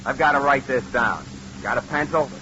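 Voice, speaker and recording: An actor playing a fussy, scolding working-class plant employee in a folksy, excitable manner of 1940s radio drama. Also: male voice, medium pitch, normal speed, natural voice. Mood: nagging, irritated. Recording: dull vintage radio, faint background noise.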